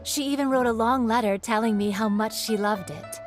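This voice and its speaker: A woman's voice: a little high, brisk, over music, close to an everyday voice. Woman playing a gracious, friendly game shopkeeper. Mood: grateful, welcoming. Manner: polite, gracious. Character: friendly, grateful, generous shopkeeper mother. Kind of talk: video game voice acting.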